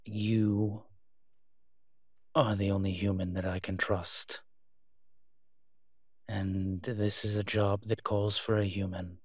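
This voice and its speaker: A man acts out a male incubus demon professor for an intimate audio roleplay. The voice is low and husky, recorded very dry and close. He is proud and teasing, speaking slowly with hesitant pauses and a flirtatious edge. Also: little pitch movement, no room sound. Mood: grudging, pleading.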